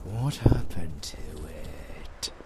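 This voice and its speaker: A man playing a sadistic, gloating vampire monster in an audio roleplay, with a gravelly, menacing, foul-mouthed delivery that savors every word. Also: a bass voice, animated, drawn-out words, heavy background noise, full character voice. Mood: bloodthirsty, relishing. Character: sadistic, gloating male vampire monster who relishes slaughter.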